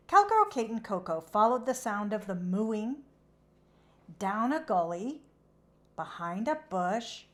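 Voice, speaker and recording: A woman who hosts children's read-alouds, narrating a storybook expressively in a measured, animated way. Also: mid-range voice.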